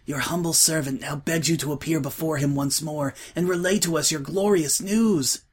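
A man voicing a frail, starving male changeling who clings to his faith, pleading in a raised, husky, breathless voice. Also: a little high, moderate pace, voice pushed up. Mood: fervent pleading.

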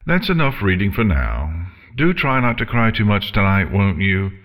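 A man's voice: on the low side, sing-song, normal speed, fairly bright tone, long reverb tail, pitched above the performer's own voice. A man voicing a huge, haughty female dragon in a storybook, sneering and condescending with theatrical scorn. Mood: droll disdain. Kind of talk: storybook character acting.

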